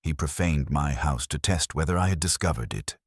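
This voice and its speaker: Man voicing a deep-voiced, imperious detective. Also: normal speed, husky, no room sound, clean studio sound. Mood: certain, accusing. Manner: formal, clipped, declarative. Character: stern, imperious older male detective, precise and accusing.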